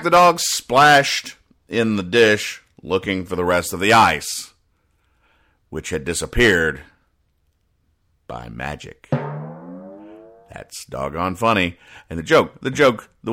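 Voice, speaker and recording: A man hosting a comedy podcast, explaining things in a deadpan way with halting restarts and emphatic repetition. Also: low-pitched. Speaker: podcast host. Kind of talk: deadpan comedic explanation of newspaper comic strips.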